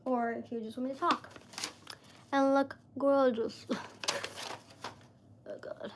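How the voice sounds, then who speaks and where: A woman in her thirties talks to her viewers in a loose, rambling live-stream chat. Her voice sits a touch high for a woman, and her sentences wander and restart, with plenty of "like" and "you know" in between. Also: steady tone, slow, noisy background. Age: 30s. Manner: rambling, chatty, full of "like" fillers.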